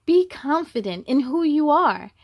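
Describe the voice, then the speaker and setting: A woman hosting a children's story time, reading a picture book aloud expressively, with animated exclamations, musing asides and questions to the listeners. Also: high voice, measured pace, no echo, dull audio.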